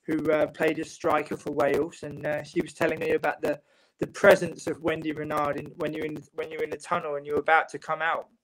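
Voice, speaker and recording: A middle-aged man from England, a football writer and guest, talking on a video call in a measured, reflective way from a home room. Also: on the high side, completely flat delivery, a bit bright, low-quality recording. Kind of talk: casual panel chat. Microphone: video call webcam.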